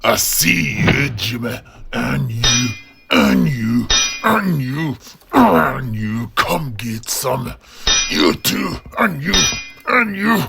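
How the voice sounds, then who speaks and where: A man voicing a battle-crazed villainous lieutenant who throws out taunts in a strained, gravelly voice for a fantasy story. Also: mid-range voice, big pitch swings, heavy background noise, heavily acted. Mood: frenzied taunting. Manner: drawn-out, taunting challenges. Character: battle-frenzied male orc-side lieutenant, wild-eyed and taunting.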